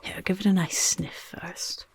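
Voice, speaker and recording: A woman voicing a chaotic, motherly goblin overlord who loves filth, in a soft-spoken ASMR roleplay, coaxing and fussing in breathy, creaky whispers with repeated words, close to the microphone. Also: lowish voice, fairly even intonation, measured pace, dry recording, exaggerated voice. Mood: gleeful, coaxing. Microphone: close microphone, dry sound.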